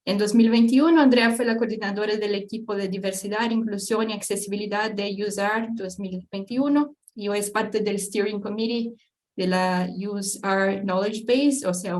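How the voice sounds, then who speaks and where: A woman hosting an online panel, introducing the speakers with many hesitant fillers. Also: medium pitch. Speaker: event host.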